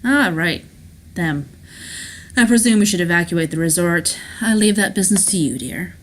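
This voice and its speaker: A woman's voice: lowish voice, lively intonation, normal speed, a little hiss or hum, close to an everyday voice. A woman voicing a suave, unflappable rich male villain who savors his words theatrically in a tabletop game. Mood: smug, amused.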